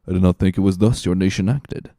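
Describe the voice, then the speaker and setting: A man voicing a cunning, smooth-talking, scornful schemer with a measured, condescending manner in a dramatic reading. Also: deep voice, steady tone, dark tone, no room sound, studio quality. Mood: sly, goading.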